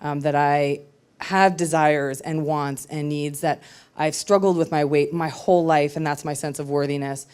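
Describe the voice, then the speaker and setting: A woman's voice: deep voice, fairly even intonation, normal speed, darkish tone, clear, resonant tone. Candid woman telling her story on stage. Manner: candid, reflective, with frequent hesitations. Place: live storytelling event with audience.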